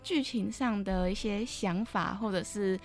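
A woman's voice: mid-range voice, unhurried, soft background music. A woman with a Taiwanese Mandarin accent hosting a conversational podcast interview. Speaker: podcast host.

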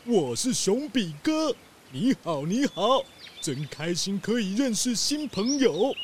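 男聲演的高嗓門憨厚熊哥哥. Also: male voice, sits high, very animated, takes it slowly, noisy background, exaggerated voice. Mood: happy. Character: friendly, cheerful male bear tourist. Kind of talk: children's detective audio drama acting.

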